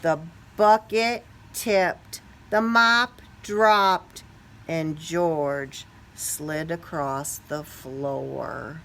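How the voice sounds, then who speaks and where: Woman, expressive picture-book reader for young children. Unhurried, bright delivery that leans on key words. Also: sits low. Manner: expressive, stretches key words, asks listeners questions. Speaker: storybook reader. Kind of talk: animated children's picture book read-aloud.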